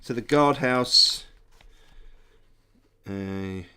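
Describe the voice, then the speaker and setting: A man's voice: medium pitch, extremely slow, bright, forceful tone, clear, resonant tone. A middle-aged man with a stuffy nose from a cold, hosting a casual live stream, answering chat in a hesitant, friendly way. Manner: hesitant, many ums and restarts, friendly toward viewers.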